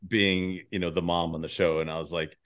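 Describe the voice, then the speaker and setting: A man's voice: low-pitched, level tone, brisk, fairly bright tone, smooth. A man hosting a casual podcast interview, asking informal, meandering questions with a hesitant delivery. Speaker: podcast host.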